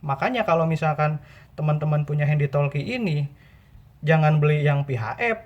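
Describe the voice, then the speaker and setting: A man's voice: a little high, brisk, lo-fi sound. A man gives an informal tutorial, explaining patiently by repeating and rephrasing his points. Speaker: presenter giving buying tips.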